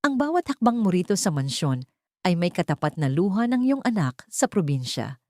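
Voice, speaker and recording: Woman reading a dramatic story, kinda low voice, really animated, super dry recording. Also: no room sound. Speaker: narrator. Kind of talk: dramatic scripted story narration.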